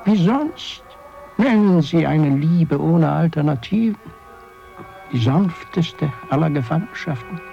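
Older dreamer, radio drama, theatrical and musing, swooping high-set voice, gravelly creak, music behind. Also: male voice, lively intonation, warm tone, lo-fi sound, full character voice. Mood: longing, resigned. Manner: halting, musing, theatrical, sliding into whispers. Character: dreamy, brooding older father longing to escape on the Nautilus. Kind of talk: literary radio drama acting.

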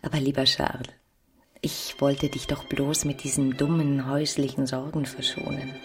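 A woman's voice: very deep voice, level tone, moderate pace. An actress playing a passionate, evasive young married woman in a German radio play, coaxing and theatrical. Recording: music behind the voice.

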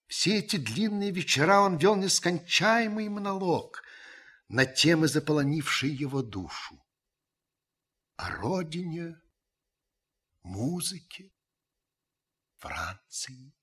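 Observant uncle recalling wartime in radio play: husky voice, expressive melody, measured literary storytelling. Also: male voice, fairly high pitch, exaggerated voice. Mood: reflective. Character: reflective, observant French uncle narrating his wartime memories. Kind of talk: radio drama narration.

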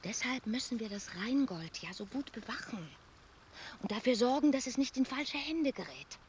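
An actress playing the eldest river nymph sister, a secretive treasure guardian, solemn and conspiratorial in a fantasy radio play. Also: female voice, on the high side, lively intonation, normal speed, a little hiss or hum, lightly acted. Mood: secretive, urgent. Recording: loud music behind the voice.

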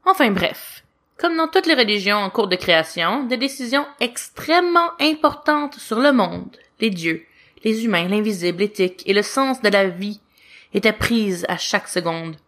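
A woman narrating a story as an audiobook reader, with expressive storytelling delivery. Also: mid-range voice, normal speed. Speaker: audiobook reader of fan fiction.

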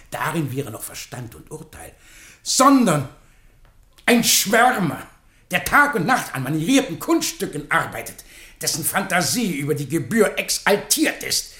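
Theatrical, wildly swooping courtroom advocate. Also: male voice, fairly high pitch, reverberant, full character voice. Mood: mock outrage. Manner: declamatory, pompous, swinging from hushed to shouted. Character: theatrical, indignant, sarcastic male defence lawyer pleading in court. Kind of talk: theatrical German radio play oratory.

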